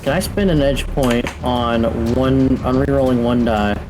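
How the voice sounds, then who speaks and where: Mid-pitched man playing a tabletop game. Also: steady tone, measured pace, rough recording. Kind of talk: casual game chat. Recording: faint music behind the voice. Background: faint background music.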